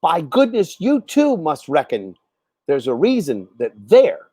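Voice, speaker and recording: Animated, high-pitched man reciting a storybook poem. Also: slightly rough, no room sound, studio quality. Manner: measured, dramatic, deliberate storytelling. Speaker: poet reading own poem. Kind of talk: expressive storybook poetry recitation.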